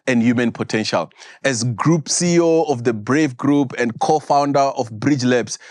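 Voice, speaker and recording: A man's voice: medium pitch, no echo, studio quality. A man hosting a podcast, reading a formal introduction and encouraging his guest with short interjections. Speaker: podcast host.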